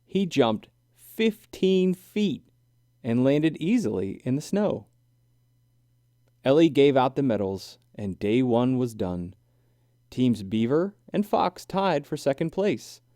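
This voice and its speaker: A man reading a children's story aloud in an expressive, deliberate, sing-song way. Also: medium pitch, no room sound, studio quality. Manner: animated, deliberate, sing-song rhyming delivery. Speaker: children's book reader.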